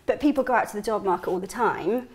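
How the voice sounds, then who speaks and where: A Welsh woman, a career mentor being interviewed, talking in a chatty, energetic way with emphatic repetitions. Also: mid-range voice, fast talker. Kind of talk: casual interview chat. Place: TV living room studio.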